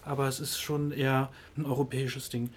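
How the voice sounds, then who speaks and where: A man's voice: mid-range voice, level tone, brisk, husky. A man answering interview questions, explaining thoughtfully with frequent hesitations and fillers. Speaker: interviewed expert on tourism.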